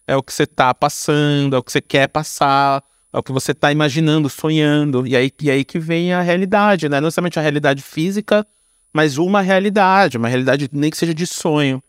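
Brazilian guy chatting as podcast guest, slightly high voice, slangy and informal, super dry sound. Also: a little fast, clear, resonant tone, no echo. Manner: informal, slangy, tag questions. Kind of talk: casual conversational interview answers.

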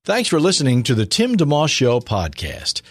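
A man's voice: mid-range voice, huge pitch swings, moderate pace, over music. A man reading a scripted podcast outro as an announcer.

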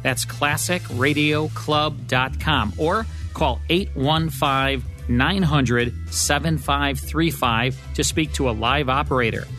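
An American man works as a radio host, reading prepared narration in a studio. His voice sits at an average pitch. Light music plays behind him. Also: slow pace, bright, forceful tone. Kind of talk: scripted promotional and introductory narration.

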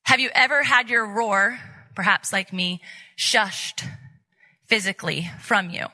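A woman's voice: mid-range voice, unhurried, pushed and bright, rough recording. A woman conference speaker giving an earnest, exhorting faith talk, repeating phrases for emphasis. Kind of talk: motivational faith talk to an audience.

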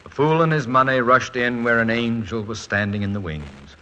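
An American actor playing a witty, proud, fretful middle-aged college president and husband in a wry, articulate 1940s radio comedy-drama style. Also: male voice, medium pitch, normal speed, pushed and bright, dull audio, natural voice. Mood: fond. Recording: noisy 1940s radio recording.

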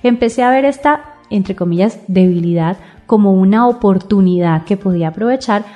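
A woman's voice: medium pitch, moderate pace, lo-fi sound. A woman teaching an informal spiritual lesson, explaining step by step and restating each point in strings of similar words.

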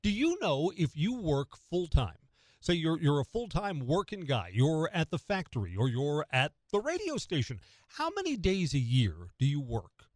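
A man's voice: on the high side, very animated, moderate pace, a little mellow, no echo, clean studio sound. An American man hosting morning talk radio, delivering a conversational opinion monologue and putting rhetorical questions straight to the listener. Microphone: close studio radio microphone.

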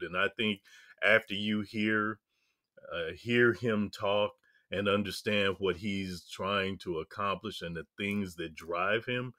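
A man's voice: sits low, sharp tone, no echo, studio quality. A man hosting a podcast interview in a casual, slangy way, greeting listeners as family and the guest as brother. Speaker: podcast host.

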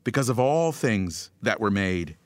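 A man preaching a scripted devotional message in a measured, emphatic way, leaning on rhythmic repetition. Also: fairly low pitch, lively intonation, dry recording.

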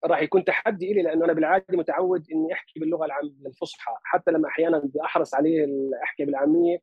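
A man from Lebanon with a Palestinian Arabic accent, speaking as an interview guest in a measured, formal way with many hesitations. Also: a little high, steady tone, breathy edge, no echo, lo-fi sound. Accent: Palestinian Arabic as spoken in Lebanon. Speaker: guest, physician and analyst. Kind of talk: interview conversation.

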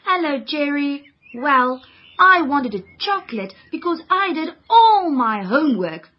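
A woman's voice: sits high, very animated, a little slow, highs cut off. A woman voicing an earnest, studious young girl who loves homework and avoids exercise.

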